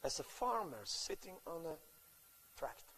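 A man preaching a sermon, telling a story in short phrases and pausing so an interpreter can translate. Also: on the high side, sing-song, bright, forceful tone, husky, low-quality recording. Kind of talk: sermon told through an interpreter.

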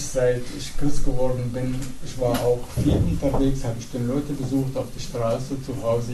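A middle-aged man from Syria with an Arabic accent, a pastor giving an earnest, halting evening talk to a congregation. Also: mid-range voice. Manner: earnest, halting, with pauses and fillers. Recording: echoey hall, distant mic, faint noise.

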